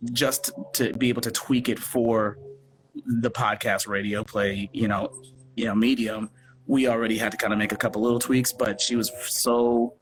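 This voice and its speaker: Male guest, low voice, casual interview chat, expressive, thinking aloud, background noise. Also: rough recording. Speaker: radio play producer and guest. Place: remote live video chat.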